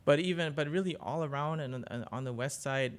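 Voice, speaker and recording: A man, answering interview questions casually as a journalist, often pausing mid-sentence. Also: fairly high pitch. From Germany. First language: German. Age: 40s. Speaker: interview guest, business journalist.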